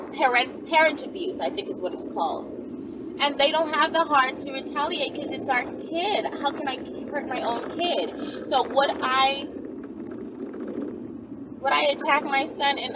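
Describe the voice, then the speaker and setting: Middle aged lady vlogging, chatty and rambling, kinda far from the mic, really noisy vehicle sounds behind her. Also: on the high side. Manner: rambling, conversational, trailing into asides. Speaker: vlogger answering viewers' questions. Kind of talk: casual chat to camera. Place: outdoors or in a vehicle at the roadside. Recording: distant mic, loud vehicle and ambient noise. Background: loud vehicle noise.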